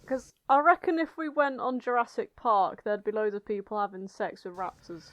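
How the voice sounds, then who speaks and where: A woman's voice: mid-range voice, fairly even intonation, moderate pace. A British woman co-hosting a podcast, chatting casually with friends in a blunt, incredulous, sweary way. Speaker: podcast co-host. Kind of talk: casual banter among friends.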